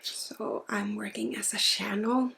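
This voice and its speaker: A woman gives a spiritual ASMR healing session, speaking softly and informally with pauses and often dropping into a breathy whisper. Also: mid-range voice, steady tone. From Sweden. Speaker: spiritual healer and ASMR host.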